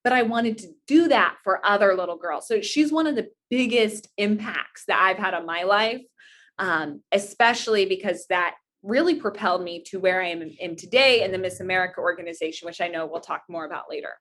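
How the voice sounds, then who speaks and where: A woman's voice: mid-range voice, fairly quick, a bit bright. Woman, twenties, earnest, talkative, conversational storytelling, mid-range voice. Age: 20s. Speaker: interview guest, pageant titleholder.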